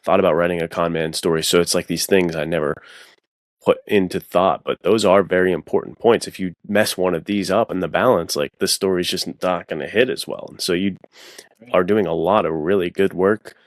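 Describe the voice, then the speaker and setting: A man's voice: sits low, steady tone, brisk, no echo, clean studio sound. A man chatting casually on a podcast in an effusive, slangy way. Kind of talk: casual chat.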